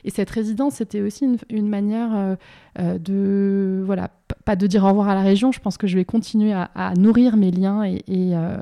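A woman's voice: medium pitch, fairly even intonation, normal speed, creaky, warm tone. A woman from Bordeaux in France speaking in an informal interview, explaining her work hesitantly and repeating words as she searches for the next thought. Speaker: interviewed independent curator and art school teacher.

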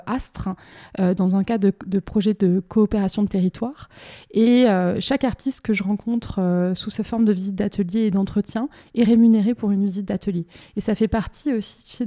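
A woman's voice: medium pitch, mellow. A woman from Bordeaux in France speaking in an informal interview, explaining her work hesitantly and repeating words as she searches for the next thought. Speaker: interviewed independent curator and art school teacher.

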